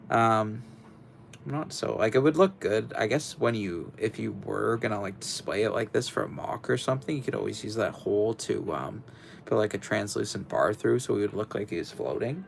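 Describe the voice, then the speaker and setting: A man's voice: animated, normal speed, a little hiss or hum. A young man hosting a casual livestream, talking in a rambling, hesitant way with lots of fillers and restarts. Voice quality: deep, gravelly voice. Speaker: livestream host. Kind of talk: casual livestream chat while building.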